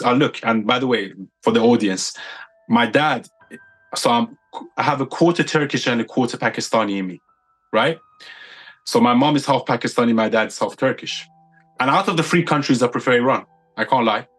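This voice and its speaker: A man's voice: medium pitch, moderate pace, fairly bright tone. A man from the United Kingdom, a podcast guest giving his opinions in casual chat, stacking up points with repeated phrases.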